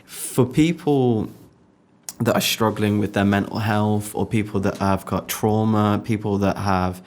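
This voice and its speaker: A man's voice: fairly low pitch, steady tone, a little slow, darkish tone. A man from England with a Birmingham accent, chatting reflectively as a podcast interviewer and often repeating his phrases. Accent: Birmingham English. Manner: reflective, repeats phrases, many "you know" and "like". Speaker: podcast interviewer, music photographer. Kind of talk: casual conversation.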